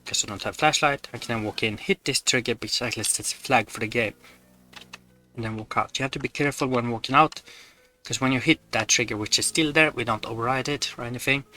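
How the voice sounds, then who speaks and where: A man's voice: medium pitch, fairly even intonation, fast talker, bright, forceful tone. A man giving matter-of-fact, step-by-step commentary on video game glitches over his gameplay. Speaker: gamer presenting glitches.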